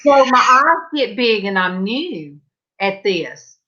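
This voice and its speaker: Slow, bright woman on a muffled call. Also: medium pitch. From California. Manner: animated storyteller, acts out quoted voices. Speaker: panel guest. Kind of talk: casual chat on a video call. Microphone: video call.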